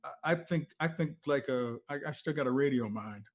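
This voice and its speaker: Older American male, veteran DJ as interview guest, mid-pitched, casual storyteller, emphatic and digressive. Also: brisk.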